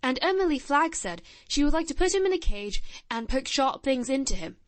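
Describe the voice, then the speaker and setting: A woman's voice: high voice, sing-song, fairly quick, dry recording. Woman doing an earnest, solemn little boy. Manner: grave, blunt, shy childlike earnestness.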